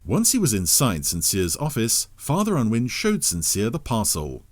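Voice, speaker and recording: A man's voice: medium pitch, wildly swooping pitch, normal speed, no echo, clean studio sound. A man narrating a scripted audio adventure story.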